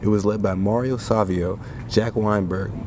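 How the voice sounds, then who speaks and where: Deep-voiced man narrating, rumbly noisy recording. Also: steady tone, normal speed. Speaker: newsreel narrator. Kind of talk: scripted newsreel narration. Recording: rumbling, clearly noisy background.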